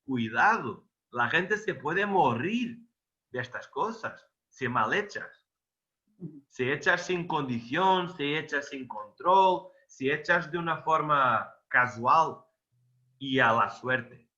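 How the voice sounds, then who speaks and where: A man's voice: a little high, expressive, takes it slowly, sharp tone, lo-fi sound. A man with a Portuguese accent, Portuguese as his first language, explaining a technical subject in Spanish, emphatic and repeating words for stress. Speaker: electro-aesthetics expert guest. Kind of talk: technical explanation in a video interview.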